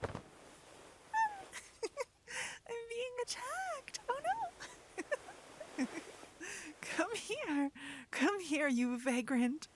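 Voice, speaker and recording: A woman playing a devoted, teasing adventurer lover in a romantic fantasy ASMR roleplay, breathy and often whispering, speaking intimately into a close microphone. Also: very high voice, very animated, noisy background, exaggerated voice. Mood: playful, teasing. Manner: slow, intimate, soothing delivery. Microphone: close, dry microphone.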